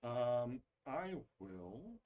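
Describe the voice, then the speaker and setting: A man's voice: mid-range voice, sing-song, very slow, dull audio, slight background noise. A man in casual chat as he plays a board game and explains card rules. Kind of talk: casual tabletop play chat.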